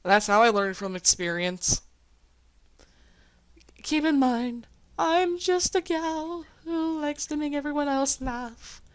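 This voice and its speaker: A woman's voice: sits high, animated, slow pace. A woman from the United States chatting casually as a video host, informal and encouraging. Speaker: video host, amateur voice actor. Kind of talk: casual chat.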